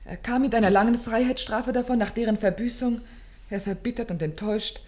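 A woman's voice: on the high side, a little fast, darkish tone, lightly acted. Anxious, genteel young woman played by an actress. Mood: grave recounting. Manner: formal, dramatic, pleading phrasing. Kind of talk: radio play acting.

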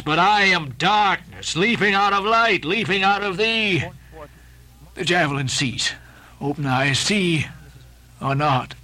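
A defiant, grandiose old sea captain, read by a man in an audiobook, declaiming archaic lines in a high voice. The tone is bright and forceful, and the lines rise sharply in pitch as he rails. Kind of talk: dramatic audiobook reading.

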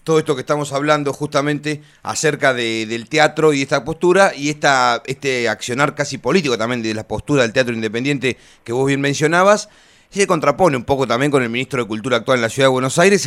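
A man's voice: mid-range voice, quick pace, fairly bright tone. A man with an Argentine accent hosting a radio interview, asking long rambling questions padded with fillers. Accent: Argentine Spanish.

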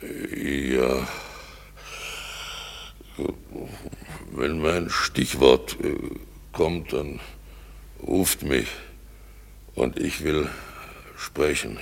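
A man acting a foolish, boastful craftsman in a German radio play, halting and wonderstruck, with a gravelly, husky voice. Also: extremely low pitch, heavily acted. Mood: drowsy, muddled. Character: foolish boastful craftsman waking bewildered from a dream.

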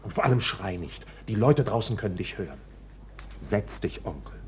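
Terse, brooding accused man, lively inflection. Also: lowish voice, moderate pace, background noise. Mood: controlled. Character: tense, stubborn bank clerk nephew under accusation. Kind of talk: radio drama acting.

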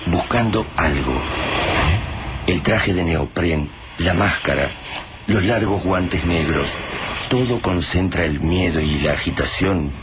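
A man giving grave, measured scripted narration for a radio drama. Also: low voice, very noisy.

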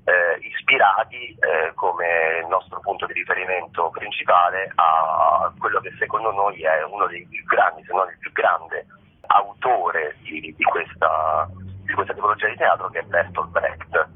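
A man with a low, husky voice answers as an interview guest. He talks off the cuff, hesitating and searching for words, and comes through a narrow telephone line. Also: steady tone, a little slow. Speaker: theatre director, interview guest. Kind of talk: spontaneous phone interview. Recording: narrow, muffled phone line.

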